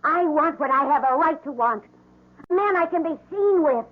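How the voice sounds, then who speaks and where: A high, lilting young woman's voice on a tinny vintage radio, as a scheming mistress. She is petulant and coquettish, swooping through her lines in radio melodrama style. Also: animated, normal speed. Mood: petulant demanding. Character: manipulative, demanding young mistress, barely out of her teens. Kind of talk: old-time radio drama acting.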